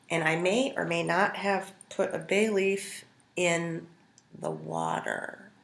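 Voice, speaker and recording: An American woman hosts a home cooking video, talking casually and conversationally. Her voice sits low for a woman but has a bright, forward edge. The sound is roomy, with clear echo around her words. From Montana, United States. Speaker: home cook hosting a food video. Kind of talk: casual storytelling to camera.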